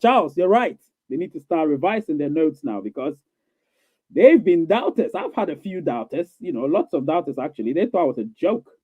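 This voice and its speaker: A man's voice: fairly high pitch, big pitch swings, a little fast, husky, no room sound. A man hosting a casual live stream, answering viewer questions in a rambling, reassuring way, repeating himself with many fillers. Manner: reassuring, coaxing. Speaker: livestream host reading viewer questions. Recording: tinny phone-like dry sound.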